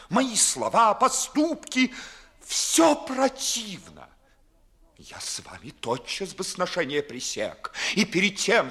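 Slow, high-pitched, impassioned young gentleman. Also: exaggerated voice. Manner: theatrical, impassioned, declamatory. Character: proud, wounded, bitterly disillusioned young gentleman in love. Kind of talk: 1950s Russian stage verse declamation, audio play.

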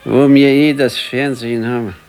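An actor playing an elderly working-class husband with a Bavarian accent in a naturalistic radio play, curt, dry and grumbling. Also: male voice, mid-range voice, fairly even intonation, moderate pace, darkish tone, faint music behind, natural voice. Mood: dry, indulgent.

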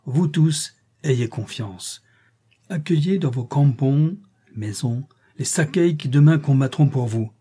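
A man's voice: medium pitch, takes it slowly, mellow, breathy edge, voice pushed up. A man voicing a commanding chieftain giving an imperious speech to a crowd, in a storybook reading. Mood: rousing. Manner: imperious oratory.

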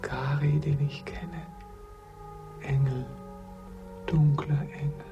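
A gravelly, fairly high-voiced man in torment, trapped in a crypt. He speaks with halting, theatrical intensity and tenderness, in a radio drama with music behind him. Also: steady tone, highs cut off. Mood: faint awe. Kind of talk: German radio drama acting.

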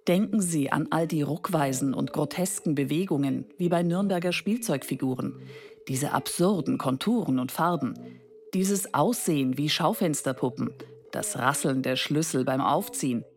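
Low, creaky-voiced aloof machine. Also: female voice, normal speed. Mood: scornful. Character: female talking machine, wry, aloof, musing on humanoids. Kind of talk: scripted radio drama monologue.

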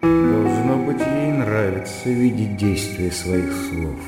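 An actor playing a reserved, condescending intellectual gentleman in a radio drama, measured and reasoning in manner. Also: fairly low pitch, expressive. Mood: wry inner musing. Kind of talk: radio drama acting, inner thoughts and dialogue. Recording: echoey reverberant sound over clear music.

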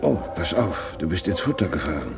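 An actor playing a devout, protective middle-aged husband in a German radio drama, measured, soothing and resigned, on a noisy old recording. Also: male voice, low voice, sing-song, creaky, over music, exaggerated voice. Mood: caring. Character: calm, devout, protective husband and worried father.

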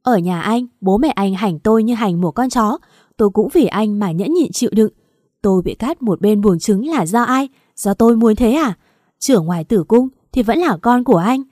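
A woman's voice: medium pitch, animated, brisk, no room sound, close to an everyday voice. A woman voicing a betrayed young wife who screams furious accusations at her husband and friend in a dry, close recording. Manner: shouting, raging accusations.

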